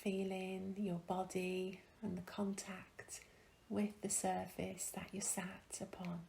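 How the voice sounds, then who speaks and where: Slow, hushed woman guiding a meditation. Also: mid-range voice, monotone, a little hiss or hum. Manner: gentle, hushed, breathy, long pauses. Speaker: meditation guide. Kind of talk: guided meditation, soothing and slow.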